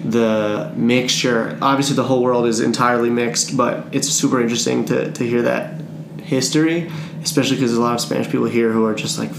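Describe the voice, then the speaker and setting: A man's voice: medium pitch, brisk. Easygoing male interviewer in an echoey room. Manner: relaxed, curious, laughs easily. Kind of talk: casual interview. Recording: echoey room, clear background noise.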